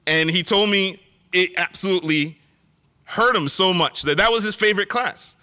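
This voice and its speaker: A middle-aged man giving an earnest storytelling speech at an awards event, often pausing on fillers and restarting phrases. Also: high-pitched, pushed and bright, clear, resonant tone.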